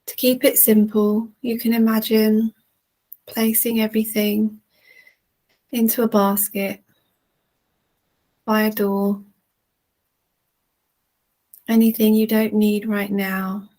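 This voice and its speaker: A woman leading a guided relaxation in a soothing, gentle manner with long, unhurried pauses. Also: medium pitch, little pitch movement. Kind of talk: guided relaxation, meditation narration.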